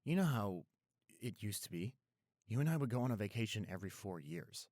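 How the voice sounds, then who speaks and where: A man playing a caring, apologetic young boyfriend in an intimate roleplay audio, speaking earnestly and gently. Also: lowish voice, sing-song, fairly quick, no echo, studio quality. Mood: earnest, reassuring. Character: apologetic, caring young boyfriend soothing his upset girlfriend.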